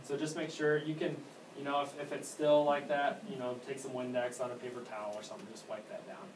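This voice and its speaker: A man giving informal hands-on training as a technician, talking casually and haltingly and often trailing off. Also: medium pitch, flat delivery. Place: indoor room at an elementary school. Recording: distant mic, echoey room, clear background noise.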